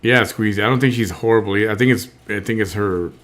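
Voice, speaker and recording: Low-voiced man, halting casual talk. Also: a bit bright. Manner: halting, repeats words while thinking aloud. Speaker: host. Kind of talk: casual live-stream chat.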